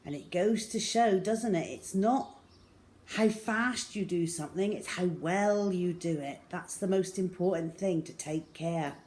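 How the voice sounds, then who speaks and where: A woman reading a picture book to young children as a storyteller, with dramatic pauses and emphasis, speaking directly to her listeners. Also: on the low side, darkish tone.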